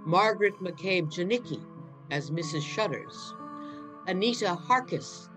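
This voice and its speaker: A woman's voice: lowish voice, expressive, unhurried. A woman reading scripted credits as an announcer at the end of an audio production. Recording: music under the voice. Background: music.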